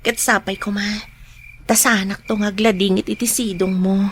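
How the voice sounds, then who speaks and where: A woman acting a grieving young woman in an Ilocano radio drama, speaking softly and sincerely with an Ilocano accent. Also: medium pitch, steady tone, moderate pace, a little hiss or hum, lightly acted. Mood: tender.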